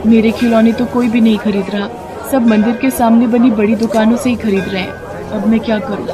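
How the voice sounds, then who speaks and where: A woman voicing a devoted, poor wife selling toys in a cartoon, speaking softly and pleadingly. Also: on the high side, fairly even intonation, a little fast, a crowd in the background, natural voice. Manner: soft, pleading.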